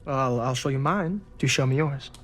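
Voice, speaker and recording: A man's voice: medium pitch, moderate pace, fairly bright tone, natural voice. Man acting theatrical dealer, cocky, smug, wordy, hard-drinking type, cinematic game, background music. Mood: smug, impatient. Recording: music under the voice.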